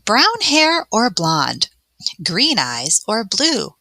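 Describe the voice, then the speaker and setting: A woman's voice: mid-range voice, wildly swooping pitch, very slow, sharp tone, no room sound. A woman teacher reading a science text aloud and guiding young pupils through it in an instructional way. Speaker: elementary teacher. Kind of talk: read-aloud science lesson for children.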